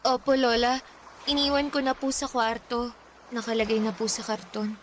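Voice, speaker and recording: Actress as a meek, high-voiced Filipino girl. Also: steady tone, normal speed, a little hiss or hum, close to an everyday voice. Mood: meek, obedient. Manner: polite, timid, pleading. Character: meek, pleading young Filipino girl, obedient granddaughter. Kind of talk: Tagalog radio drama acting.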